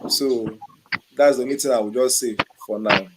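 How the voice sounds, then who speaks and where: A man speaking on a call, measured and hesitant, with many fillers. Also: medium pitch, steady tone, noisy background. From Igbo region of Nigeria. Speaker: panelist.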